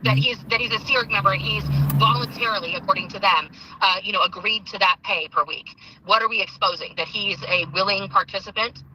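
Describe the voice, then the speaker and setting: A woman's voice: mid-range voice, a little fast, hardly any pauses, sharp tone. A woman arguing confrontationally over the phone, exasperated and repeating her points for emphasis. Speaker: activist, former Scientology member. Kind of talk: heated phone argument. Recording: noisy phone line.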